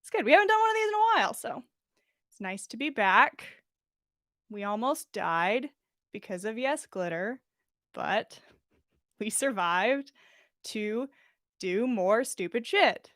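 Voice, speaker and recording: High-voiced woman hosting a casual stream. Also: very animated, measured pace, pauses often, fairly bright tone, no room sound, clean studio sound. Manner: giggly, informal, swears casually. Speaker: podcast host. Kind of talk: casual livestream chat and reading fan fiction aloud. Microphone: close mic with pop filter.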